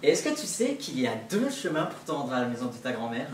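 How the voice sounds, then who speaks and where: A student actor playing a sly, hungry male wolf who coaxes gently, heard from far off in an echoey school hall. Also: mid-range voice, very animated, fast, slight background noise, close to an everyday voice. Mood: coaxing. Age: teenager. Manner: gentle coaxing, feigned sweetness. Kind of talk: school stage play. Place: school stage.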